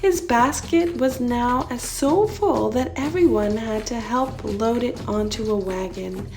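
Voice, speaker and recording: Slow, soft-toned woman reading a children's story. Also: on the high side, soft background music. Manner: unhurried, expressive read-aloud delivery. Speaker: storyteller reading a picture book aloud. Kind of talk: expressive children's story narration.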